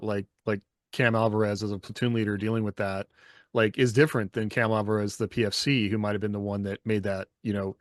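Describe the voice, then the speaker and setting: A man's voice: lowish voice, no echo, clean studio sound. A man co-hosting a podcast in casual discussion, agreeing and building on what the others say. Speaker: podcast co-host.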